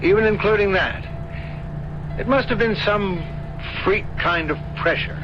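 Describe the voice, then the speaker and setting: A man's voice: high voice, measured pace. An actor playing a rational, skeptical professor, a man with precise, bookish speech in an old radio drama. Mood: shaken, defensive. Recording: dull lo-fi radio recording, vehicle noise.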